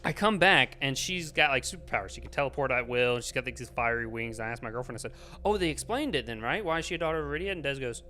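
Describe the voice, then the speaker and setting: A man's voice: mid-range voice, animated, racing, pushed and bright, soft background music. A man hosting a podcast in a casual movie review chat, exasperated and slipping into mock dialogue. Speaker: podcast host.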